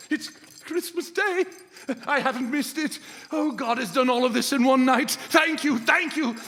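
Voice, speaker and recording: A man's voice: very high voice, unhurried, sharp tone, full character voice. A man playing a reformed old miser in live radio theatre, stammering, exclaiming and full of joy and gratitude. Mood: giddy, overjoyed. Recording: reverberant hall, live stage performance.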